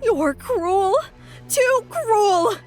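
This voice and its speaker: A woman voicing a young woman in a fan audio drama, shrill and emotional. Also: animated, very slow, soft background music, exaggerated voice. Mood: furious. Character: young woman, frightened and furious.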